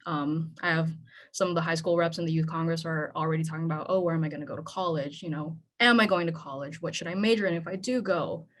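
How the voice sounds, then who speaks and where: A woman's voice: deep voice, fairly even intonation, fast talker, slight background noise. A young woman from the Philippines, a native Tagalog speaker, giving an earnest, conversational talk on a panel. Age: young adult. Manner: earnest, conversational, with frequent "right?". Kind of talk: speech at a panel event.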